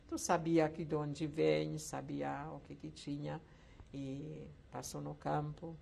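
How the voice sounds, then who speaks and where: An older woman from Czechoslovakia, once a camp survivor, telling her life story slowly with hesitant pauses in an oral history interview. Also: low-pitched, level tone, vocal fry, warm tone, slight background noise. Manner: slow reflective storytelling with hesitant fillers.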